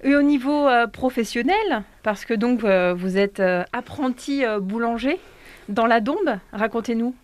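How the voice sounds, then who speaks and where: A woman with a fairly high voice presents local radio news and interviews guests. She reads the items in a clear, steady way, then asks questions with plenty of pauses and fillers. The sound is dry and close, with no room around it. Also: dry recording. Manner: hesitant with many fillers when asking questions. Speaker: radio host.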